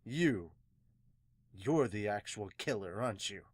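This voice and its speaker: A man's voice: lowish voice, expressive, unhurried, slightly rough, dry recording, voice pushed down. Man acting out a menacing manga swordsman, terse, subdued, grim, dry sound. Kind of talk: amateur manga dub.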